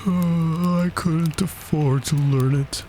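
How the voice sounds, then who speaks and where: Slow, mournful storybook turtle. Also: male voice, medium pitch, animated, dark tone, a little hiss or hum, pitched above the performer's own voice. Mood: sighing, sorrowful. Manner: slow, drawn-out, sighing delivery. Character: mournful, sighing old Mock Turtle, self-pitying and touchy. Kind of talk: storybook character voice.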